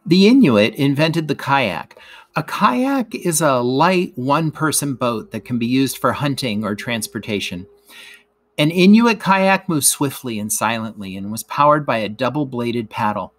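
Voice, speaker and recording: A man's voice: mid-range voice, sing-song, unhurried, fairly bright tone. Man reading an educational text aloud. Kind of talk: scripted educational read-aloud narration.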